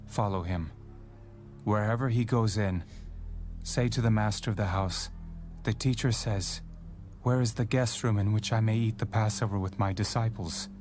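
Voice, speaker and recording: A man performs a solemn prophet and teacher in an audio Bible drama. The voice is low and authoritative, each statement measured and grave, with soft music underneath. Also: natural voice. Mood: calm instructing. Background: background music.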